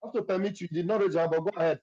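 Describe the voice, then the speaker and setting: A man's voice: high-pitched, racing, warm tone. A man moderating a live audio chat room, giving firm, repeated directions to the callers. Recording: lo-fi online audio stream.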